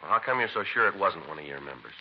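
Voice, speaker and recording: An actor playing a terse, deadpan American police detective in a low-key 1950s radio drama. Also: male voice, quick pace. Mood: businesslike. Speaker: police sergeant and narrator. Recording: dull, noisy 1950s radio recording.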